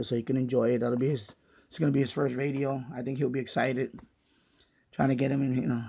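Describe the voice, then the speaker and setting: A middle-aged man giving a casual, unscripted product review as a hobbyist, thinking aloud in a halting, repetitive way. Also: mid-range voice, creaky, dull audio. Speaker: radio hobbyist reviewing a portable radio.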